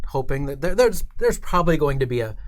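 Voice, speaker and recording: A man's voice: on the high side, big pitch swings, quick pace. A man hosting a gaming livestream in a casual, friendly, chatty way.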